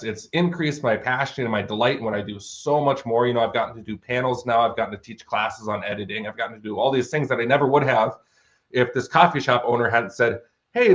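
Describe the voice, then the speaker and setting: A man's voice: medium pitch, fairly bright tone. American male author, thirties, mid-range voice, chatty anecdotal interview guest, slightly muffled sound. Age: 30s. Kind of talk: casual conversational storytelling.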